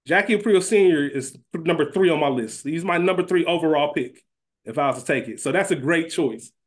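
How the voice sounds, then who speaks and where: A man's voice: a little high, a little fast. A man co-hosting a podcast in a casual chat style, emphatic and often repeating his phrases. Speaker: podcast co-host.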